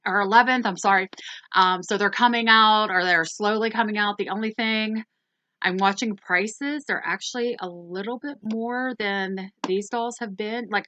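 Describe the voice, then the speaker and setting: A woman's voice: medium pitch, bright, forceful tone, no room sound, lo-fi sound. A woman hosting a casual doll review, rambling along with lots of ums and self-corrections. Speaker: toy review channel host.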